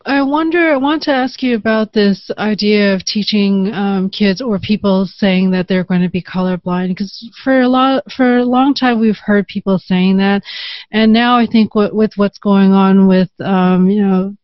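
A woman hosts an interview over a video call, putting curious, measured questions to her guests. Her voice sounds muffled and lo-fi. The audio is dry, with no room echo. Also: medium pitch, fairly even intonation. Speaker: host.

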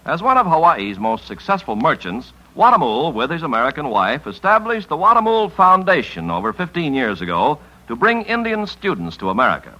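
A male 1950s radio announcer with a bright, forceful voice and hugely animated intonation. His scripted delivery is formal and emphatic, pitched a little high. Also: brisk, hardly any pauses, rough recording.

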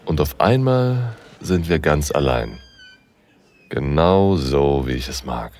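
A man's voice: rumbling low, animated, slow, a little mellow, heavily acted. A man playing a seductive, commanding university professor who narrates an intimate audio drama in the first person, sighing between phrases. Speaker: narrator and lead actor.

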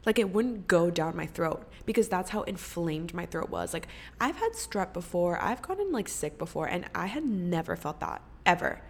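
A woman's voice: on the low side, sing-song, normal speed, few pauses. A young woman hosting a podcast, chatting casually and rambling, swearing freely, and sounding congested. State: congested, coughing. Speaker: podcast host. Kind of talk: casual chat.

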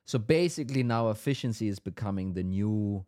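A man's voice: fairly low pitch, lively intonation, a little fast, warm tone. A man hosting a casual business podcast, explaining things at length with many ums and restarts; his first language is Danish. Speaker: podcast host.

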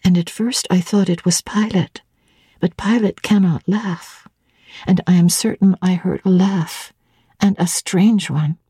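A woman's voice: lowish voice, fairly even intonation, unhurried, mellow, slightly rough, dry recording, clean studio sound. Woman playing an earnest, probing young governess, closely miked. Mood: firm. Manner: intent, careful, questioning.

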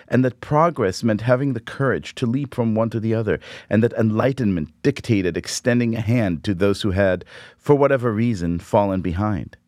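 A middle-aged man, Hebrew speaker, reading a personal essay aloud in a measured, reflective, wry way. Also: lowish voice, a little mellow, dry recording, studio quality. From Israel.

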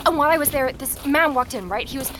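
A woman's voice: sits high, fast, a bit bright. An actress playing an anxious young woman investigator in an audio drama, speaking in a hesitant, naturalistic way. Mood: frightened, breathless. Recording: faint background noise.

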